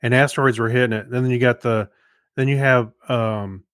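A man's voice: mid-range voice, fairly even intonation, moderate pace, fairly bright tone, dry recording, studio quality. A man in casual conversation as a podcast co-host, tentatively thinking aloud. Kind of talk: casual chat.

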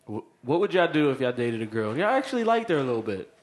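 A man's voice: mid-range voice, big pitch swings, fast talker. Joking man in his thirties, laughing often. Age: 30s. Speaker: podcast host. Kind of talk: casual chat.

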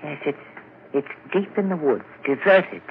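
An actor playing a small, dark stranger who was once blind, speaking in soft, knowing tones in a 1940s radio drama. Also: male voice, on the high side, animated, unhurried, gravelly. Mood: knowing, eerie. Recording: muffled 1940s radio broadcast, hiss.

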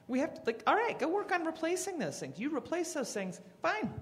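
A panelist from Texas who speaks bluntly and casually, with lively swings in tone. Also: high-pitched, fairly quick, long reverb tail, a little hiss or hum. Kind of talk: panel discussion.

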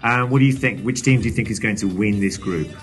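A man's voice: fairly low pitch, quick pace, over music. A man co-hosting a football podcast, asking fans short questions with lots of uhs. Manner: friendly interviewer with frequent uh fillers. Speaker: podcast co-host interviewing fans. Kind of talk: casual interview.

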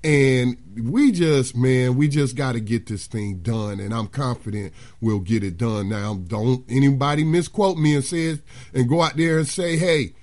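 An older man co-hosting a radio show in casual, folksy chat, his voice slightly hoarse as if he is losing it. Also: mid-range voice, a little slow, darkish tone. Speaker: radio co-host.

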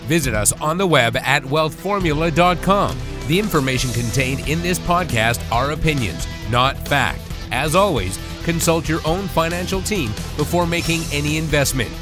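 Brief: male announcer, slightly higher voice, outro read over clear guitar music. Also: lively intonation, moderate pace. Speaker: podcast announcer.

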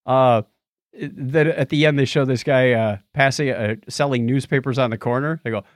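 A man's voice: medium pitch, normal speed, dry recording, studio quality. A middle-aged man co-hosting a podcast in casual banter, with a teasing, wisecracking manner. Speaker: podcast co-host.

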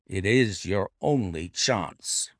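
A man voicing a brisk, commanding gentleman detective who speaks crisply and with authority. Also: sits low, expressive, no room sound, studio quality, heavily acted. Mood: stern.

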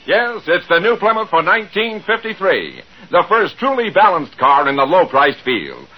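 A man with an American accent and a husky edge, delivering a punchy 1950s radio car advertisement. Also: sits high, huge pitch swings, normal speed, over music, poor audio. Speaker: commercial announcer. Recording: old 1950s radio broadcast sound.

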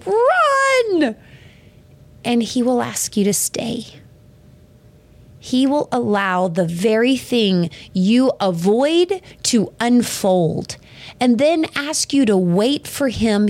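A woman hosting a devotional podcast, hoarse with a scratchy worn voice, talking in an encouraging and emphatic way. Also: fairly high pitch, dry recording. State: hoarse, voice close to giving out. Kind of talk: upbeat devotional talk. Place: retreat house.

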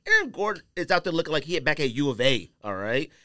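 Lively, slangy man with highish voice. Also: fast, dry recording, clean studio sound. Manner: slangy, emphatic, repeats points. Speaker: sports and sneaker podcast co-host. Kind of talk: casual banter and sports debate. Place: podcast studio.

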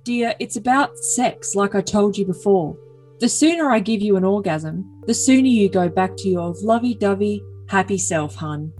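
A woman's voice: medium pitch, moderate pace, a little mellow, lightly acted, poor audio. Woman as earnest, possessive boyfriend over music. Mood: pleading. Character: possessive, earnest young boyfriend, calls partner dear. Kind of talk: dramatic comedic reading of text messages.

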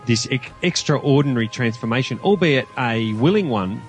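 A man's voice: mid-range voice, animated, normal speed, soft background music. A man as a podcast guest in casual film discussion, rambling and stammering as he searches for words. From Australia.

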